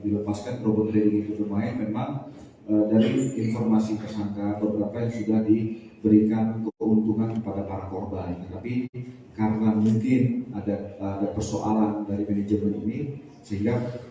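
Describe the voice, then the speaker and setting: Male police spokesman, formal and halting, low-pitched, boomy distant hall recording. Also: steady tone, warm tone. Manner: formal, halting official delivery. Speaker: police spokesman at press conference. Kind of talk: press briefing answering reporters. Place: large echoey room, through PA microphone. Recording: boomy echoing hall, muffled, faint noise.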